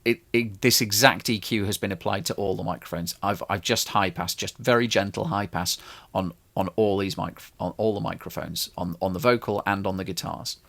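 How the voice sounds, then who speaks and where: Gravelly man explaining a home mix. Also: on the low side, steady tone, moderate pace, a bit bright. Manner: loose, rambling explanation with frequent restarts and fillers. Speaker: home studio musician explaining his mix. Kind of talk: casual tutorial talk. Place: small home studio.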